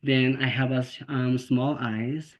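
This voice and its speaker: A man's voice: medium pitch, steady tone, unhurried. Earnest Filipino-accented man presenting. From Philippines. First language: not English. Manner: earnest, repeats and restarts words, asks "okay?" and "right?" often. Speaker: special education teacher presenting to international teachers. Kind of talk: informal presentation in a video call. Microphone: video call. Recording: slightly dull lo-fi video call audio.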